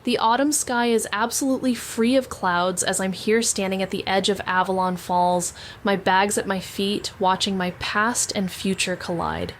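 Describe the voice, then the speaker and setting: A woman's voice: medium pitch, expressive, normal speed, hardly any pauses, natural voice. A woman playing a wry, self-deprecating young woman back in her hometown, narrating an audio drama in a conversational, sardonic way with rambling asides. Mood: wry, ambivalent. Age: young adult. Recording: noticeable background ambient noise.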